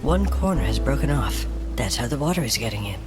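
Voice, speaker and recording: Man voicing hushed, excited young-hearted adventuring woman. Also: medium pitch, brisk, music in the background, pitched above the performer's own voice, close to an everyday voice. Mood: hushed excitement. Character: excited, earnest female adventurer Achillea. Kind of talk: fantasy audio drama character.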